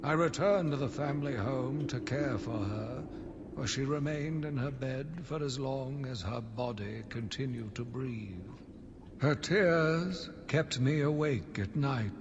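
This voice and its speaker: A man playing a slow, brooding, embittered asylum doctor. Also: mid-range voice, close to an everyday voice. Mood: grieving, haunted. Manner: solemn, measured, brooding, theatrical. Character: embittered male asylum doctor, grief-stricken, zealous, slowly turning vengeful. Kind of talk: dramatic scripted monologue, video game audio log. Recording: long reverberant echo, loud background noise.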